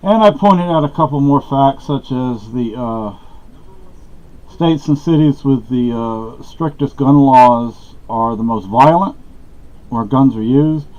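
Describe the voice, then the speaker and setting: Middle-aged man from Texas, chatty and meandering, recorded in a dark room on a dull-sounding camera mic. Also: mid-range voice, slow pace. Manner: meandering, many fillers and restarts. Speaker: vlogger. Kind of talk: rambling casual talk to camera. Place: small dark room at home.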